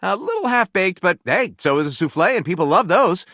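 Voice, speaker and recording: A theatrical video game storyteller with a highish, widely swooping voice. He narrates in a mock-dramatic, literary way and adds eager comic commentary. The sound is dry and close. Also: sing-song, a little fast, sharp tone, no room sound, full character voice. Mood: amused. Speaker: video game character voice actor.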